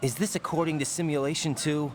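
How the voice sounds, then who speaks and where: A man's voice: a little high, fairly even intonation, fast, slight background noise, lightly acted. A male actor playing an earnest, troubled young rookie soldier in a dramatic video game scene. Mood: questioning. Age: young adult. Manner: earnest, intense.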